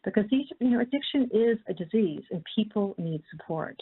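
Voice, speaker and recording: A woman filmmaker speaking earnestly and haltingly, unscripted, on a panel call. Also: mid-range voice, expressive, husky. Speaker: documentary filmmaker. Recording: muffled narrow-band conference call line.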